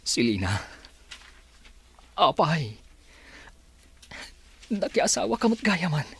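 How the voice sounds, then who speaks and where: A man's voice: fairly high pitch, very animated, slow, creaky, fairly bright tone, breathy edge, heavily acted. An actor with a northern Philippine accent playing a heartbroken, reproachful young man in an emotional, pleading radio melodrama style. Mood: hurt, reproachful.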